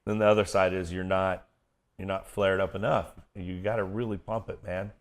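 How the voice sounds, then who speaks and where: A middle-aged American man with a booming baritone, chatting casually as an interview guest. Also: little pitch movement, normal speed. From Kansas City area, United States. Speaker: guest, event MC and voiceover artist. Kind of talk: casual interview chat with stories.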